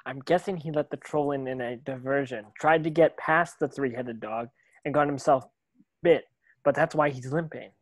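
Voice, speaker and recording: A man's voice: mid-range voice, moderate pace, no room sound, lightly acted. A man playing an earnest, uneasy schoolboy hero in a screenplay table read.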